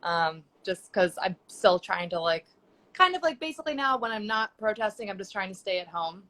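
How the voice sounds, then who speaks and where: A woman's voice: medium pitch, expressive, normal speed, bright, forceful tone. A young woman chatting casually as a guest on a live video call, talking loosely with many fillers. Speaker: guest sex educator. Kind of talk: casual chat on a live stream. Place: home.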